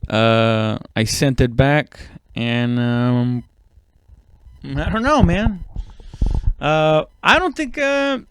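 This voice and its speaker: A man's voice: medium pitch, animated, drawn-out words, a little hiss or hum. A man giving a casual, rambling comedic monologue, self-deprecating and swearing freely. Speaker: comedian and podcast host.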